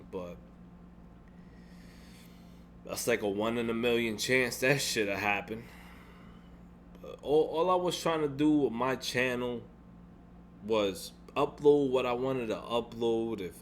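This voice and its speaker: A young man with a deep voice vents in a home-made gaming vlog. He rambles, repeats himself and swears, and a faint electrical hum sits under his speech. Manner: frustrated, rambling with frequent restarts and swearing. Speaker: gaming YouTuber. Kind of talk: casual venting vlog.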